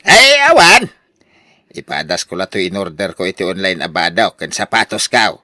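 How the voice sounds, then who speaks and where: A male actor plays a boastful older husband in a Filipino radio drama, with an Ilocano accent. The pitch swings widely and the tone is bright and forceful. He is expansive and full of exclamations, recorded close and dry. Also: huge pitch swings, normal speed, no echo, full character voice. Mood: breezy, generous. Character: boastful, generous older husband, newly rich lottery winner.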